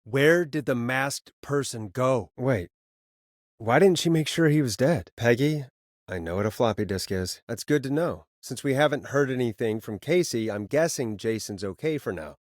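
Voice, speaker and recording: A man's voice: mid-range voice, lively intonation, normal speed, dry recording, clean studio sound, lightly acted. A man playing an earnest radio host in a video game, conversational and naturalistic, guiding people through emergencies. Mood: wry, exasperated, then businesslike.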